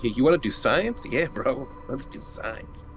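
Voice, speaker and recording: A man's voice: medium pitch, expressive, unhurried, soft background music. A man playing a tabletop roleplaying game, chatting casually in character.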